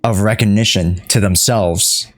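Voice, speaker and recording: A woman's voice: very deep voice, unhurried. A woman streaming a game, giving casual live reactions and murmuring short asides as she follows along. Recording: faint game music behind the voice.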